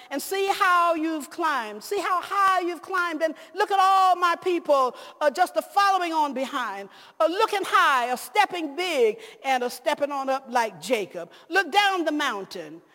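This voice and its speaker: A woman's voice: high-pitched, pushed and bright, pitched above the performer's own voice, exaggerated voice. A woman voicing God, stern and tender. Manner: exhorting, rhythmic and urgent. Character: God, an old male deity, stern and tender. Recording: long hall reverb.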